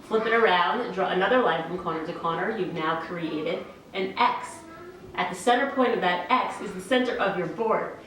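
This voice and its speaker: A woman giving a clear, step-by-step woodworking tip. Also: fairly low pitch, normal speed, fairly bright tone, heavy reverb, distant mic, a little hiss or hum, poor audio. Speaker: guest maker. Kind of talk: instructional tip.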